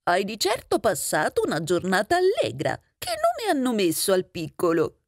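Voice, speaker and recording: A woman's voice: mid-range voice, wildly swooping pitch, normal speed, no echo, studio quality. A woman voicing a trusting, puzzled male mouse in a fairy tale, with a questioning, exclamatory way of talking. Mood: welcoming.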